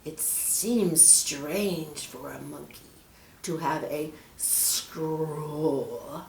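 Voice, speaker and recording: Woman voicing a slow, hissing, sinister male snake. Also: sits low, echoey room, distant mic, full character voice. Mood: falsely caring. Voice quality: hushed, breathy hiss. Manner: slow, drawn-out, sinister delivery. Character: sly, menacing male snake, falsely caring.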